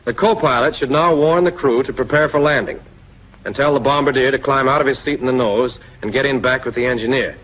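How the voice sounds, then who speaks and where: American man, 1940s training film narrator, scripted and instructive, notably husky tone, dull vintage soundtrack. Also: mid-range voice, brisk. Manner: instructive, direct second-person address.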